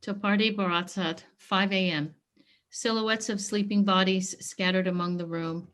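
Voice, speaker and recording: Woman narrating stage directions, mid-pitched. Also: fairly even intonation, normal speed, a bit bright. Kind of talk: scripted narration of a play.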